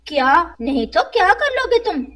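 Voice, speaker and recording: A woman's voice: very high-pitched, big pitch swings, moderate pace, fairly bright tone, heavily acted. A woman voicing a boastful, spiteful male crow in a cartoon, with a defiant, bragging manner.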